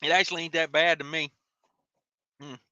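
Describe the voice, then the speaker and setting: A man's voice: on the high side, little pitch movement, moderate pace, pushed and bright, no echo, highs cut off. An American man hosting a casual livestream, reading out viewer comments and replying in a folksy, rambling, colloquial way. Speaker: livestream host, truck driver.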